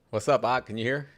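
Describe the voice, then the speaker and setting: A man hosting a live debate podcast in a relaxed, chuckling manner, jumping in with quick remarks. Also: medium pitch. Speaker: podcast host. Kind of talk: casual live debate chat.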